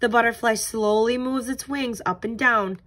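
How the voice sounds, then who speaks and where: A woman's voice: a little high, fairly even intonation, normal speed, a bit bright. A woman tutor reading aloud and giving simple step-by-step instruction for young children. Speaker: tutor reading a picture book and leading a craft.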